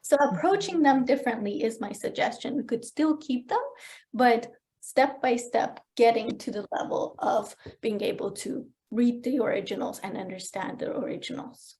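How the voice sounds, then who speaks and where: A woman whose first language is Persian, giving a keynote answer on a video call in a lively, explanatory way. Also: a little high, moderate pace, poor audio. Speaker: keynote speaker. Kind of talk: conference talk answering questions.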